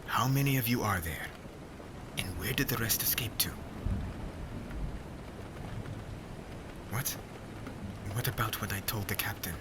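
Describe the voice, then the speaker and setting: A man playing a stern, brooding witch hunter in an ASMR fantasy roleplay, menacing and deliberate, often dropping into breathy half-whispers. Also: lowish voice, background noise, natural voice. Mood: scornful, defensive. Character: stern, brooding young male witch hunter, captor aboard ship. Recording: loud rain, thunder and sea ambience.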